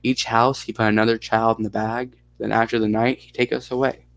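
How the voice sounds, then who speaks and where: A man voicing a frightened seven-year-old Russian girl who speaks halting broken English in a Russian accent. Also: mid-range voice, level tone, dry recording, lightly acted. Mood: frightened, haunted. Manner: halting, simple broken sentences.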